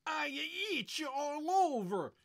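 Storybook opera diva, male: vain, indignant tenor, high and swooping, given to theatrical wails. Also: very animated, takes it slowly, heavily acted. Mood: frantic wailing. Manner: wailing, sputtering, theatrical.

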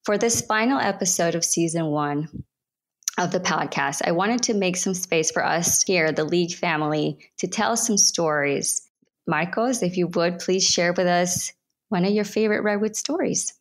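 A woman speaks as the host of a podcast. Her voice sits a little low. She introduces and converses, and she is from Ecuador and grew up in North Carolina. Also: moderate pace.

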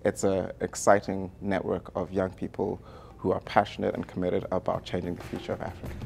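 A young man delivering a deliberate, declarative closing statement. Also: low voice, completely flat delivery, creaky, a little mellow, soft background music. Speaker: MBA graduate.

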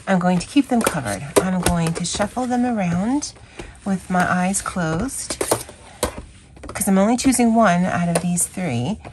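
A hoarse woman with a scratchy voice hosting a chatty, rambling coloring vlog. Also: fairly low pitch. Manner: chatty, rambling, with fillers and self-corrections. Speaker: craft channel host. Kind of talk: casual coloring vlog chat.

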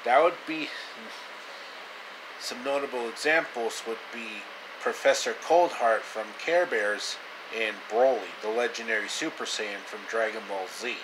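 A man in his thirties tells a story in a casual, improvised way. His pace is slow and halting, with repeated phrases, and his pitch sits in the middle. An air conditioner hums clearly behind him on a handheld-distance mic. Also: noisy background. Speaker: amateur storyteller. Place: small indoor room, reclining.

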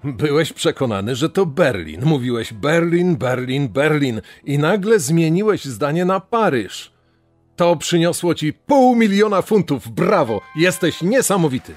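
A man playing an incredulous TV quiz show presenter in a dramatized reenactment, with deliberate delivery. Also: on the high side, big pitch swings, a little mellow, soft background music. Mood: amazed.

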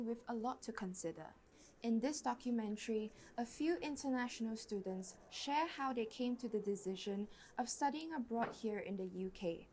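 A woman giving a scripted documentary narration in a measured, informative manner. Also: on the high side, darkish tone, slight background noise.

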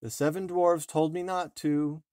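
A man's voice: on the high side, steady tone, measured pace, warm tone, no echo, studio quality, pitched above the performer's own voice, lightly acted. A man voicing a timid, innocent young princess in a fairy tale reading.

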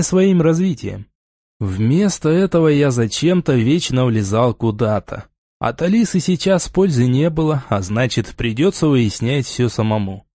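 A male audiobook narrator with a dark, mellow tone and a middle-range pitch. He reads first-person fiction from a script, recorded close and dry. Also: dry recording.

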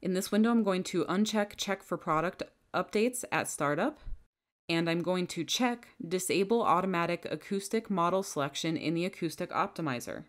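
A woman with a somewhat low voice narrates a scripted software tutorial as the trainer. She walks through each setting in a calm, methodical way, one click at a time. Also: normal speed. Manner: calm, methodical step-by-step instructions. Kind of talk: scripted screen-recording tutorial. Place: voice-over over a screen recording.

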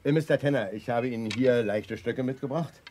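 An actor playing a helpful, easily amazed small-town sheriff in a radio play. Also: male voice, mid-range voice, a little fast, darkish tone, a little hiss or hum, lightly acted. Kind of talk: 1980s German science fiction radio play acting.

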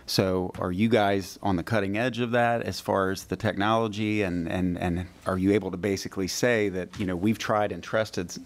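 A man's voice: low-pitched, steady tone, normal speed, few pauses. A man on the town council putting a long, courteous question to a presenter through a desk microphone. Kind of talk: public meeting questioning.

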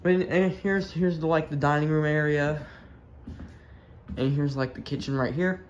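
A man's voice: on the high side, steady tone, unhurried, lo-fi sound. A young man giving an offhand, rambling house tour in casual vlog style, talking into a handheld phone. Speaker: vlogger. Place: walking through a house. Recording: noisy handheld phone recording with clicks.

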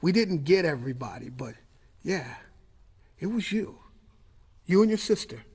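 A man acting in an audio drama as a weary, wounded, wry drifter with a husky voice. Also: on the high side, very animated, normal speed, darkish tone, heavily acted. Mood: pained, urgent. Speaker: actor.

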